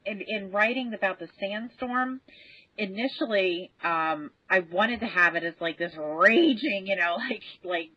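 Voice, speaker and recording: A woman's voice: medium pitch, measured pace, no room sound. A woman telling anecdotes in a casual panel discussion, chuckling between phrases. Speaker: podcast guest who helped write a space radio drama. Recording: dull, band-limited lo-fi audio.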